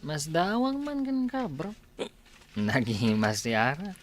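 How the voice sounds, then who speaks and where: A man's voice: fairly high pitch, huge pitch swings, a little slow, a little hiss or hum. A man with a Filipino accent playing a jokey, drink-loving young bachelor in a village comedy radio drama, playful and exclamatory. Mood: playful, cajoling.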